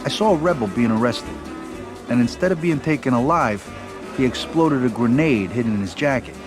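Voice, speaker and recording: A man's voice: medium pitch, lively intonation, normal speed, lightly acted. A worried mob lieutenant played by a man speaks in an ordinary mid-range voice. He sounds wary and restless throughout. Music plays under him in a game cutscene. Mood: uneasy.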